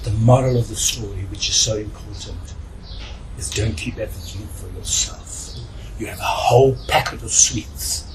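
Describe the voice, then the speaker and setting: A South African man talks earnestly about his storytelling in an interview. He is halting, repeats words for emphasis, and sounds distant from the mic amid clear noise. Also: fairly low pitch, fairly even intonation. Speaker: volunteer children's storyteller. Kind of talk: reflective interview with animated storytelling. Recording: noisy, distant microphone.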